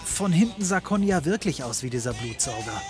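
An actor playing a wry, world-weary occult detective, dry and sardonic, in a comedy audio drama. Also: male voice, fairly high pitch, sing-song, fairly quick, music in the background. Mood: resigned.

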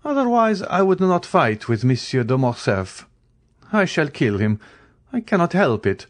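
A man's voice: a little high, sing-song, unhurried, voice pushed up. A man voicing a proud, mocking, coldly menacing aristocratic count in an audiobook, in a haughty, formal, declamatory manner. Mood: coldly confident.

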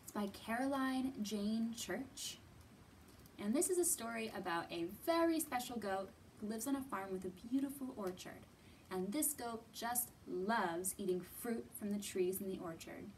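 A woman's voice: on the high side, expressive, moderate pace, a little mellow, a little hiss or hum, low-quality recording. A woman in her thirties hosting a children's storytime, reading and talking expressively to young listeners as a librarian. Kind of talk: picture book read-aloud and storytime talk for young children.

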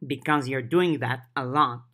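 A narrator reads a practical teenage girl who leads her friends in an audiobook. She is brisk, wary and blunt, speaking firmly. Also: medium pitch, sharp tone.

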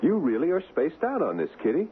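An actor playing a skeptical, teasing fiancé of about thirty in a 1970s radio drama. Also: male voice, fairly high pitch, wildly swooping pitch, a little fast. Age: 30s. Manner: casual, needling. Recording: muffled phone-like radio, faint noise.

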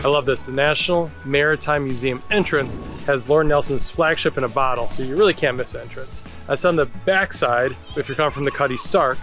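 A man's voice: medium pitch, a little fast, over music. A man in his forties hosting a travel vlog, chatting and joking as he explains sights while walking outdoors and through museums. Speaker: travel vlogger guide. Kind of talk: casual travel vlog narration. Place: outdoors in a park and museum halls.

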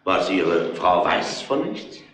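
An actor playing a grumbling police inspector in a radio crime drama, probing with hesitant, seemingly casual questions. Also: male voice, low-pitched, sing-song, slow pace, fairly bright tone, heavily acted. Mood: subdued, questioning. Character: grumbling, probing male police inspector, middle-aged or older. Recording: roomy, noisy old radio play recording.

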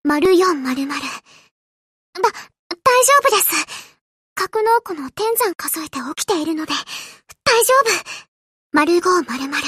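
A woman voicing a gentle, eager young ship girl in an anime game, sweet, polite and earnest, a little hesitant. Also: very high voice, lively intonation, unhurried, pauses often, no echo, clean studio sound, full character voice. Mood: sleepy, flustered. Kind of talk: Japanese anime game character voice lines.

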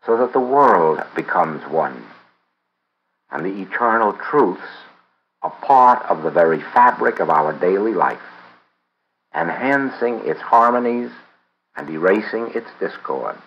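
Deep-voiced man reading aloud, measured. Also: animated, highs cut off, a little hiss or hum. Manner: measured, deliberate phrasing with frequent pauses. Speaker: reader of a book. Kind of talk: read-aloud audiobook of a spiritual text.

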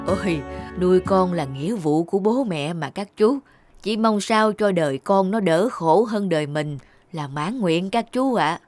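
An actor playing a devoted, gentle old father in a cartoon, speaking tenderly and hesitantly. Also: medium pitch, a little slow, no room sound, soft background music, close to an everyday voice. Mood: humble. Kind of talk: Vietnamese cartoon dubbing.

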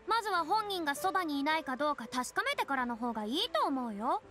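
A woman's voice: sits high, very animated, fast talker, bright, forceful tone, heavily acted. An actress voicing a proud, theatrical young woman, a retired star with boyish turns of phrase, in an anime-style game. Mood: composed. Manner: haughty, dramatic, speaks with boyish self-reference. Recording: studio voice over game music.

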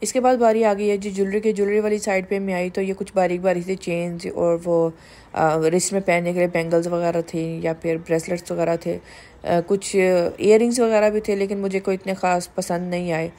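Woman vlogger, chatty voice-over, low mellow tone. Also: level tone, a little fast. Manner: chatty, candid shopping commentary with English words mixed in. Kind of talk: casual voice-over vlog narration.

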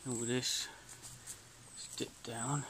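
A British man chatting casually to camera as an outdoor camping vlogger, with a low-key, laid-back manner. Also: flat delivery, extremely slow. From United Kingdom. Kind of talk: casual vlog chat. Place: outdoors in woodland. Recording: noisy handheld outdoor recording.

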